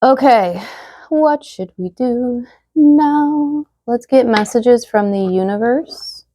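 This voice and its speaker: A young woman giving a casual tarot reading to viewers, chatty and full of fillers, slightly hoarse as she loses her voice. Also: a little high, expressive, slow, mellow, a little hiss or hum. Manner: chatty, many fillers, reads card messages aloud. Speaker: tarot reader.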